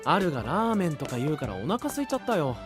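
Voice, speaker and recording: A man voicing a sensible, gentle young traveler, cautious and mildly exasperated, in an animated audio drama. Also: on the high side, quick pace, music in the background. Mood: pleasant. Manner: soft-hearted, cautious, questioning. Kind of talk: animated Japanese voice drama acting.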